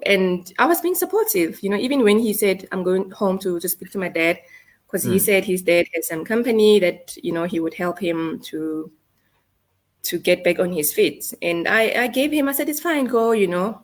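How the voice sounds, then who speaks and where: A South African woman in her thirties, a guest telling a painful personal story in a halting way with many restarts. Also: mid-range voice. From South Africa, North West. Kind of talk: emotional personal account.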